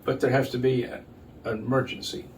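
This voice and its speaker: Older male public official, mid-pitched, plain and halting explainer, faintly noisy recording. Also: level tone. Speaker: county judge, interview guest. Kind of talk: explanatory interview answers. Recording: distant mic, noticeable background noise.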